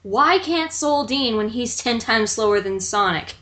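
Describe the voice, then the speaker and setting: Incredulous woman with a highish voice. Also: expressive, unhurried, fairly bright tone. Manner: incredulous, questioning. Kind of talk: casual group commentary.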